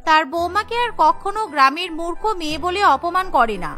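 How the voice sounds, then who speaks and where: A woman's voice: extremely high pitch, fairly quick, bright, forceful tone. A woman narrating a scripted cartoon story as its storyteller. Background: background music.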